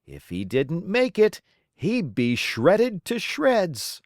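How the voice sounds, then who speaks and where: Children's read-aloud narrator, female, theatrical and rhythmic, very unhurried, lively pitch swings, dry recording. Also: dry recording. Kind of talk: expressive children's storybook narration.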